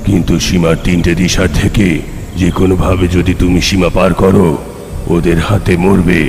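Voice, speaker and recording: A man's voice: low-pitched, level tone, normal speed, gravelly. A man playing a grave, all-knowing underworld guardian in a dramatic cartoon dub, speaking in solemn, foreboding instruction. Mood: solemn, warning. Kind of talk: dramatic dubbed cartoon dialogue. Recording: long hall reverb, faint noise.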